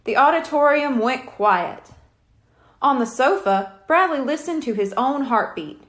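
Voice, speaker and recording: High-pitched, animated woman reading a children's story. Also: a little slow. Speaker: audiobook reader. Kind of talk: scripted children's story narration.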